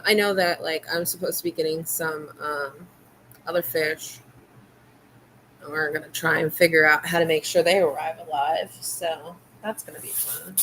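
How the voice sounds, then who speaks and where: A woman's voice: low-pitched, steady tone, normal speed, fairly bright tone, a little hiss or hum, rough recording. A woman hosting a casual late-night livestream from a home room lit by fish tanks, talking in a meandering, hesitant way. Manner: meandering and hesitant, many fillers and trailing thoughts. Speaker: livestream host.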